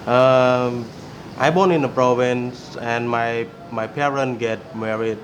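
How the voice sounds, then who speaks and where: A man in his thirties with a Khmer accent, speaking haltingly in a documentary interview and searching for words. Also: mid-range voice, steady tone, slight background noise. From Cambodia. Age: 30s. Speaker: former student interviewee.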